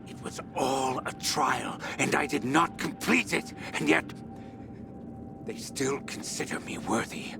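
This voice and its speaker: An actor playing an obsessed researcher sliding into messianic madness in a video game audio log, speaking haltingly and broodingly, at times breaking into whispers or cries. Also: male voice, medium pitch, lively intonation, gravelly. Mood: hushed, awed. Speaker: expedition leader recording audio logs. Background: background music.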